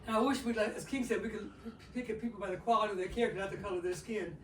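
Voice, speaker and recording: Fast-talking older man in an echoey room. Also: sits high, a little hiss or hum. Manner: animated, laughing, repeats words, quotes people. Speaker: father in a family circle. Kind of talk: storytelling in a community circle. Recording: echoey room, distant mic.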